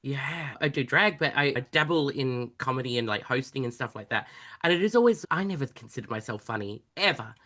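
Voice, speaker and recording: A man's voice: mid-range voice, expressive, a little fast, pushed and bright. A man who performs in drag, hosting a podcast with a bubbly, giggly manner and the odd swear word. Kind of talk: casual podcast chat.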